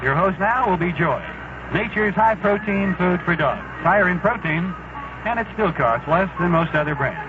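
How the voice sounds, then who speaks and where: High-pitched male radio baseball announcer. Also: lively intonation, normal speed. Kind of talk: scripted sponsor reads and game handoff. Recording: tinny, noisy 1970s radio broadcast.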